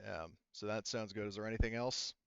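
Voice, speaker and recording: Male game master, smooth dry voice, explains things casually and haltingly at length. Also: lowish voice, no echo, muffled recording. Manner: halting, explains in rambling detail. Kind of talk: casual tabletop game narration.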